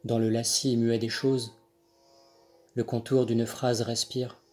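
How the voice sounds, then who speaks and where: Slow-paced man reciting poetry. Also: mid-range voice, flat delivery, a little mellow. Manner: measured, deliberate phrasing with pauses. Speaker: poet reading own poem. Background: Handel music accompanies the reading.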